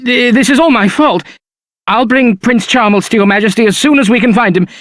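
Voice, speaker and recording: High-pitched, stammering royal servant. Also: male voice, fairly quick, fairly bright tone, no room sound, clean studio sound. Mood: panicked, apologetic. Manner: stammering, apologetic. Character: nervous, stammering, servile royal attendant. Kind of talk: video game character acting.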